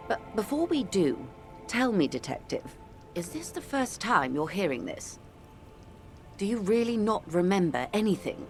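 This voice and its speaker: An actress playing a composed, wealthy middle-aged corporate negotiator in a video game, speaking in a measured, articulate, world-weary way. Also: female voice, lowish voice, full character voice. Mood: wistful, probing. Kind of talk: video game character dialogue.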